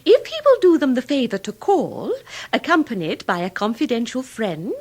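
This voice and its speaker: A woman's voice: on the high side, sing-song, moderate pace, darkish tone, slightly rough. A woman playing a prim, sentimental older spinster aunt in a radio drama, speaking formally and precisely.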